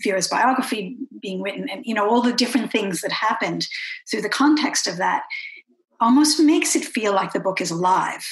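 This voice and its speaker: A woman's voice: mid-range voice, normal speed, poor audio. A woman with an American accent interviewing an author, reflecting aloud with many restarts. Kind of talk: conversational interview.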